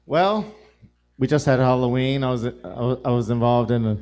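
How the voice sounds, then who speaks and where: A man chatting as a guest musician on a live show, his voice raspy and hoarse, relaxed and full of fillers. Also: medium pitch, moderate pace. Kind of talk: casual chat on a live show.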